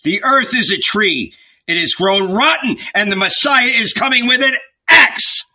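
A man's voice: sits high, lively intonation, takes it slowly, bright, forceful tone, pitched above the performer's own voice, exaggerated voice. A man voicing a fiery, bony prophet of doom in a dramatic audiobook, bellowing and fervent, drawing out his solemn warnings. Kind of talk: dramatic audiobook character reading.

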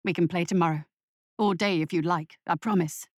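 Anxious storybook dad, lowered pitch, stammering and pleading played by a female narrator, dry recording. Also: fairly even intonation, a little fast, dry recording, close to an everyday voice. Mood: tearful pleading. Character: anxious, pleading adult father.

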